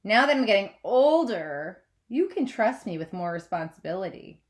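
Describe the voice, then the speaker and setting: A woman's voice: medium pitch, sing-song, moderate pace, natural voice. A woman voicing an earnest seventh-grade girl in a storybook, pleading in a whiny, exasperated and emphatic way.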